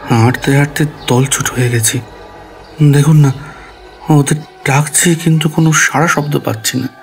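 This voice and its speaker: A man's voice: fairly high pitch, fairly even intonation, moderate pace, vocal fry, faint music behind. A man narrating a ghost story in the first person, dramatically, acting out his own dialogue.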